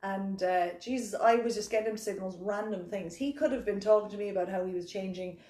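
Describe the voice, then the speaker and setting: A woman's voice: medium pitch, fast, mellow, echoey room. An Irish woman hosting a podcast, telling stories in a casual, sweary, self-mocking comedic chat. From Ireland. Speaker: podcast host. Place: indoor room, seated on a couch.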